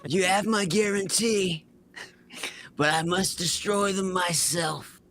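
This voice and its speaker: A man's voice: sits high, slow, fairly bright tone, exaggerated voice. A man playing an imperious, power-hungry Sith warlord with a pompous, commanding manner in a fan fiction reading.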